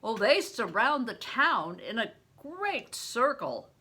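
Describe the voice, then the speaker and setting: A woman's voice: on the high side, sing-song, slow, sharp tone, breathy edge, full character voice. An older woman voicing a big, swaggering, worldly man in a storybook, assured and matter-of-fact. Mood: knowing.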